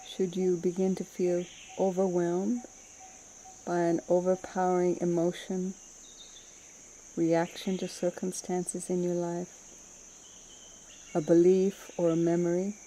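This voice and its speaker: A woman with a very soft, dark and relaxed tone guides a mindfulness meditation. She speaks slowly and soothingly, leaving gentle gaps between phrases, in a slightly low voice. Insects chirp audibly behind her. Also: slight background noise. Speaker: meditation teacher.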